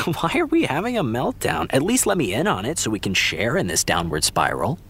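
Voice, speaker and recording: A laid-back young American guy in a podcast drama. His delivery is lively and melodic, slangy and teasing, with an easy supportive air and a medium pitch. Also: a little fast, breathy edge, full character voice. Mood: teasing concern. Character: laid-back, supportive male TV actor friend. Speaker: voice actor. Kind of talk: naturalistic fiction podcast dialogue.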